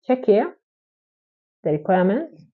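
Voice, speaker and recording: A woman's voice: medium pitch, very animated, measured pace, phone-quality sound. A woman vlogging from Oman, answering viewers' questions in an encouraging, repetitive way and talking straight to the camera. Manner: encouraging, repetitive, direct address. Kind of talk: casual advice vlog.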